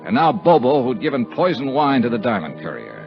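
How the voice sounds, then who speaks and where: Hardboiled American sleuth, mid-pitched, dry wisecracks, punchy varied intonation, vintage radio drama. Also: male voice, big pitch swings, a little fast, faint music behind. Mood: brisk narrating. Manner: terse, dry, sardonic. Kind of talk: 1950s radio detective dialogue and narration. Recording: dull, lo-fi 1950s radio recording.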